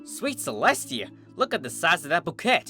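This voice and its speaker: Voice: mid-range voice, very animated, moderate pace, pushed and bright, soft background music, voice pushed up. A performer voicing an earnest orange farm pony mare, a protective sister in a cartoon story, who explains things a little hesitantly. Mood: astonished.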